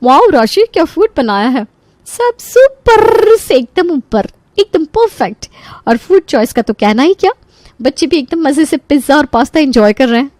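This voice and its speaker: ऊँची आवाज़ वाली चहकती, बातूनी अभिनेत्री की निभाई महिला मेहमान. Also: female voice, high voice, sing-song, moderate pace, darkish tone, dry recording. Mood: delighted. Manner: gushing, mixes in English words. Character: boss's wife, chatty, delighted, insistent dinner guest. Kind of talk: Hindi animated story dialogue.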